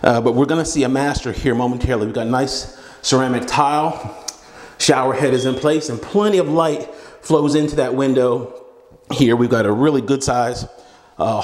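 A man's voice: medium pitch, a little mellow. A man with a Virginian accent, a real estate agent walking through an empty house and explaining its features in a friendly, explanatory way. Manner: friendly, explanatory, corrects himself mid-sentence. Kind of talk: walkthrough home tour narration. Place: empty house with bare walls and wood floors, indoors. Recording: echoey empty rooms.

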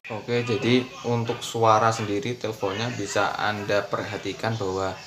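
A man's voice: on the low side, flat delivery, moderate pace, very noisy. A man in his thirties with a thick Javanese accent, earnestly explaining a scam to his viewers in a casual vlog recorded indoors. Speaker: furniture seller. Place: indoor room.

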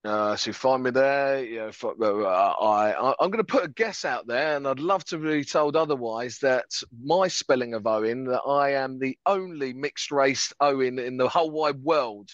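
A man's voice: medium pitch, normal speed, few pauses, dry recording. A man, a guest chatting upbeat and casually on a podcast over a headset video call. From France. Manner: upbeat, chatty, self-deprecating humour. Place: home office, video call. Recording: dull, slightly lo-fi call audio.

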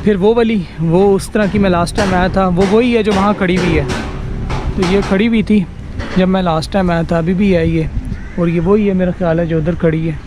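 A man's voice: high voice, steady tone, fairly quick. A man with a Pakistani Urdu accent casually walking through an outdoor workshop yard, pointing out vehicles in a chatty tour. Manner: chatty, pointing things out, frequent "ji" and "dekh rahe ho". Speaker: vlogger touring a vehicle workshop. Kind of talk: casual walk-and-talk tour. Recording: outdoor street noise behind voice.

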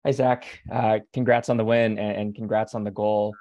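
Male sports reporter, dark relaxed tone, even delivery, on muffled low-fidelity audio. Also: normal speed, dry recording. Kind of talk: press conference question.

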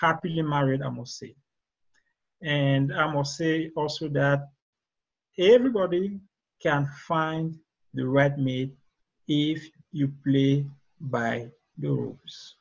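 Man, Cameroonian accent, high husky voice, explaining as interview guest, muffled video call. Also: slow pace, long pauses. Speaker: guest author and relationship expert. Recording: dull, lo-fi video call audio.

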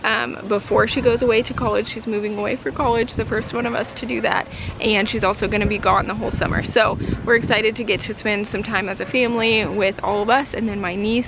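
A woman's voice: medium pitch, a bit bright, a little hiss or hum, low-quality recording. A young woman chatting casually to camera outdoors in a backyard, in a conversational way sprinkled with "like" and "you know". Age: young adult. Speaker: homesteading family vlogger.

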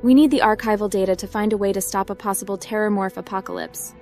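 A woman plays a young cyber-runner captain in a video game. She talks quickly and directly at a medium pitch, with an inquisitive manner and faint music underneath. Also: steady tone, darkish tone, natural voice. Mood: determined. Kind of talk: scripted roleplay dialogue for a video game.

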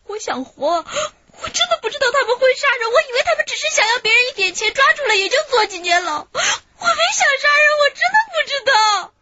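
High-voiced, frightened female accomplice. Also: big pitch swings, a little fast, few pauses, background noise. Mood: desperate, tearful pleading. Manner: tearful, pleading, shrill when upset. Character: frightened young female accomplice, pleading, desperate informant. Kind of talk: radio drama acting. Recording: slightly dull radio drama recording.

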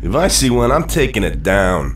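A man's voice: on the low side, big pitch swings, moderate pace, soft background music. A male actor playing a cocky, aggressive fighter ace in a video game, blunt and brash. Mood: cocky, combative.

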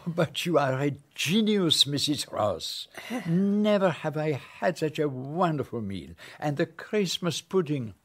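An actor playing a fussy, formal elderly Belgian detective with a French accent, in a radio play. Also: male voice, fairly high pitch, sing-song, a little slow, a bit bright, exaggerated voice. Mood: polite. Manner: formal, precise, polite.

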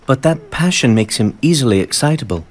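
A man playing an earnest, reserved teenage boy in an animated game cutscene, gentle and measured in manner. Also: mid-range voice, natural voice. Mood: soft, sincere. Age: young adult.

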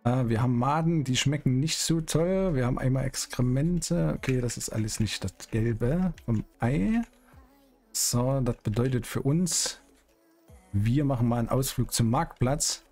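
A man's voice: mid-range voice, a little slow, a little mellow, soft background music. Chatty man streaming a roleplay game. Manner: chatty, colloquial, playful. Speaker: streamer hosting a GTA roleplay series. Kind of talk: casual gameplay commentary and in-character chat. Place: small indoor streaming room.

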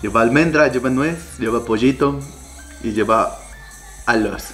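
A man presenting dishes in a jokey, faux-solemn, halting way. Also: mid-range voice, a bit bright. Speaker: guest food taster. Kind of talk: mock-formal food presenting. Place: room in a home, indoors. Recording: roomy echo, faint music.

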